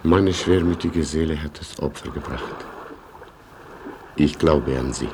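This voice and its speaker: A very deep-voiced, proud and melancholy colonel from a radio play. He speaks with grand, ceremonious formality. The old recording carries faint noise. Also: a little slow. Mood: solemn. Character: proud, melancholy Polish colonel, solemn and gallant. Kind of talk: German radio drama acting.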